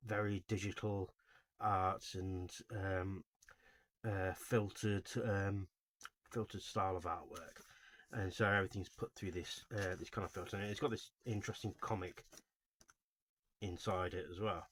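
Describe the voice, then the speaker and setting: A man giving informal, unscripted video commentary as a host, in a halting, rambling way with frequent self-corrections. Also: low-pitched, fairly even intonation, a bit bright, slight background noise. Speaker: host of a video series on album cover art.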